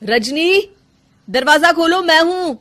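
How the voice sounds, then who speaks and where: Woman as earnest young husband, concerned, questioning, lively intonation, moral story. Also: very high voice, slow, voice pushed up, heavily acted. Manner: urgent, questioning.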